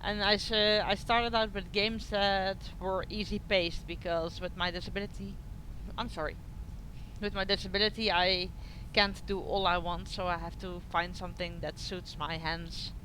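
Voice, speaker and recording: A woman's voice: medium pitch, little pitch movement, normal speed, a little hiss or hum, low-quality recording. A woman from the Netherlands with a Dutch accent, chatting casually as she streams a game and answers her viewers. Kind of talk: casual livestream playthrough commentary. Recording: loud game music behind. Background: loud game music.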